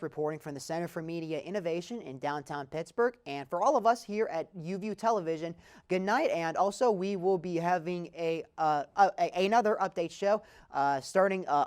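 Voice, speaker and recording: A man's voice: on the high side, fairly quick, clean tone. A young American man reporting and interviewing in a presentational, announcer-like broadcast style. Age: young adult. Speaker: student sports and news reporter, interviewer.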